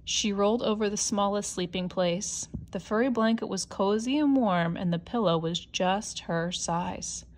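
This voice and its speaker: A woman narrates a children's storybook at a very slow pace. Her voice sits at an ordinary female pitch, following a scripted tale line by line. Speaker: storybook reader.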